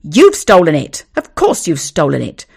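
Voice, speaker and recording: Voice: medium pitch, huge pitch swings, normal speed, vocal fry, husky, full character voice. A reader voicing an indignant man who furiously accuses his neighbour of theft. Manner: shouting, indignant. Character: angry indignant man accusing a dog thief.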